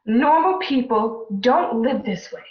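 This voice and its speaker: Woman playing a high-voiced, nagging indignant mother. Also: animated, takes it slowly. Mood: scolding, frantic. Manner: scolding, fretful, rhetorical questioning. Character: nagging, indignant middle-aged mother of a grown daughter. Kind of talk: radio play acting. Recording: roomy, dull home recording.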